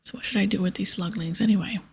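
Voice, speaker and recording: A woman voicing a plucky, sceptical female adventurer in a breathy ASMR whisper, dry and questioning. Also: mid-range voice, steady tone, fast, darkish tone, a little hiss or hum, natural voice. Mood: incredulous. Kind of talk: whispered ASMR game dialogue.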